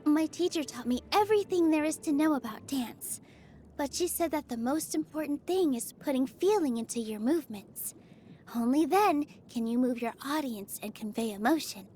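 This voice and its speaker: An actress voicing a gentle, cheerful young woman dancer in a video game, speaking in a sweet, earnest, easily flustered manner. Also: high-pitched, animated, moderate pace, faint music behind. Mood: warm, earnest. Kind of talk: video game character voice lines.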